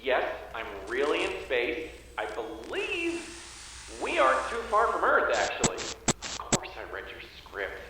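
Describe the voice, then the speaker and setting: A man's voice: a little high, wildly swooping pitch, unhurried, gravelly, heavily acted. An actor playing an affectionate father who is an astronaut out in space, in an indie drama. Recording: very echoey, distant, faint noise.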